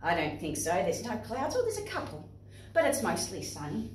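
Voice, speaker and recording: A woman with an Australian accent presenting to young children and parents in an expressive, instructive way. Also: mid-range voice, moderate pace. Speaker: playgroup facilitator and presenter. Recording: echoey room, distant microphone.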